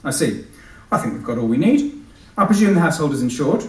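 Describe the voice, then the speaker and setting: A man voicing an older policeman who speaks deliberately and condescendingly, as if to small children. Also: fairly high pitch, sing-song, pitched above the performer's own voice. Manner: deliberate, as if addressing kindergarten. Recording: echoey hall, distant microphone.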